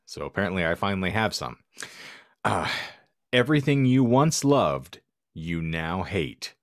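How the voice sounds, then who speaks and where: A man's voice: low-pitched, very animated, a little slow, no room sound, clean studio sound. A man hosting a podcast with wry, sarcastic asides, bantering casually and reading bits aloud. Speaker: podcast host.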